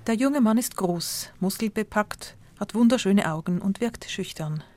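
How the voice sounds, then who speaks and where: Calm woman reporter narrating. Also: mid-range voice. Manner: measured, descriptive. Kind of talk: scripted news narration.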